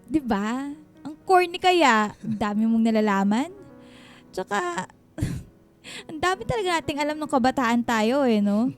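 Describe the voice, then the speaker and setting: Filipino single mom in radio drama, highish voice, guarded but teasing, bit of music under it. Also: female voice, lively intonation, a little slow. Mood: playful. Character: guarded, self-conscious single mother, playful with her old flame. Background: background music.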